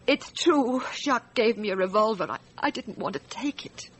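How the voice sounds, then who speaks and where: A woman playing a frightened, distressed wife in a radio drama, recounting her story in a halting, hesitant way. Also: on the high side, animated. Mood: haunted, fearful. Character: frightened, distressed wife testifying about a night shooting.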